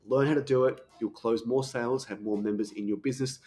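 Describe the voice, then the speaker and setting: A man giving coaching commentary on sales technique, talking fast with the occasional stutter. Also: mid-range voice, fairly even intonation, warm tone.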